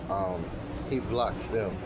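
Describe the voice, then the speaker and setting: A man on the edge of fifty tells a story from his job in a relaxed, slangy way, circling back and repeating words. His voice sits a little low. Loud street traffic runs behind him the whole time. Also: slow pace. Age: 40s. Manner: animated, repetitive, streetwise slang. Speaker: airport wheelchair attendant. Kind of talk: casual storytelling vent.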